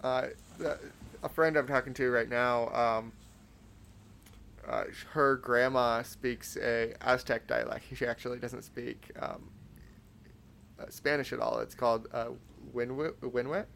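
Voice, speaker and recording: A young man hosting a casual podcast interview, talking in a halting way with lots of fillers and restarts. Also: medium pitch, steady tone, creaky, a bit bright, slight background noise. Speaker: podcast host.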